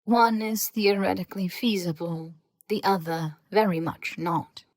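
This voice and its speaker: A woman playing an aloof, sarcastic researcher who lectures in a measured, formal and dry way in an audio story. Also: mid-range voice, dry recording, natural voice. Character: aloof, sarcastic, scholarly government researcher speaking through voice-disguising charm. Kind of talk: scripted audio fiction dialogue.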